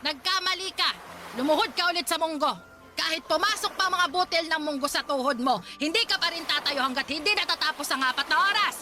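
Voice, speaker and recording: A woman's voice: very high voice, a little fast, over music. An actress in a radio drama playing a cruel, pious, stingy old grandmother who scolds and nags. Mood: cruel, menacing. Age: older. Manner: scolding, sanctimonious, nagging. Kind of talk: Tagalog radio drama acting.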